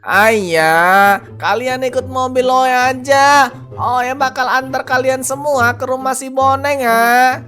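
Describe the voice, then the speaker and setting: A woman voicing an earnest, defensive male cattle trader in a cartoon, with drawn-out exclamations and questioning tags. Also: sits high, fairly even intonation, fairly bright tone, soft background music. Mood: obliging. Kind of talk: animated cartoon dialogue.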